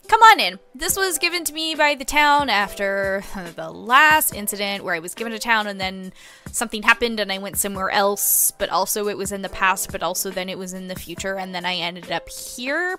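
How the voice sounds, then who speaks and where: A woman's voice: mid-range voice, sharp tone. A woman playing a chatty, friendly small-town reporter who rambles and interrupts herself in a tabletop story game.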